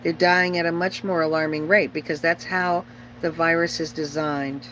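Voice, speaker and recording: A woman's voice: deep voice, steady tone, normal speed, fairly bright tone. Blunt middle-aged woman, humming background noise. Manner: opinionated, blunt, repeats herself for emphasis. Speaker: livestream host chatting with viewers. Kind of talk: casual rambling livestream chat.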